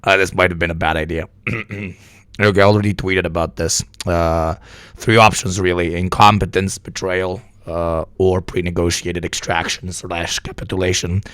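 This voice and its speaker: A sick, hoarse man streaming live news commentary, talking bluntly and with plenty of swearing. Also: low-pitched, level tone, moderate pace, a bit bright, no echo. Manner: profane, blunt, rambling. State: hoarse from illness.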